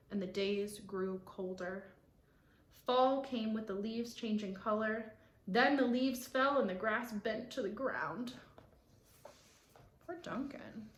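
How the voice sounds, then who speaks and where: A woman reading a picture book aloud to children, expressive, with explanatory asides for young listeners. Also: a little high, reverberant, slight background noise. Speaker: read-aloud storyteller.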